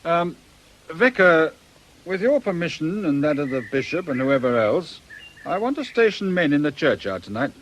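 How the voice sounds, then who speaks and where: A man playing a brisk, sceptical police inspector in a radio drama, with a British accent and a dry, colloquial manner. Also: fairly high pitch, very animated, a little hiss or hum, poor audio. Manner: dry, matter-of-fact, colloquial.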